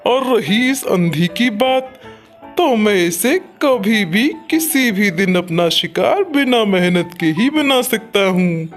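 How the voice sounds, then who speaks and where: Woman doing a greedy sneaky hunter bird for a cartoon, slow talker, music underneath. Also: medium pitch. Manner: sly, muttering plans to itself. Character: scheming greedy male predatory kite bird, falsely kind. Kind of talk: Hindi cartoon character acting.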